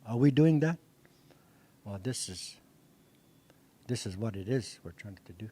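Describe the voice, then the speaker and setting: A man's voice: lowish voice, lively intonation, measured pace, dark tone, breathy edge. An older man whose first language is Dakota, teaching a language class with a handheld mic in an indoor meeting room, speaking earnestly with frequent restarts and repetitions. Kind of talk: classroom lecture.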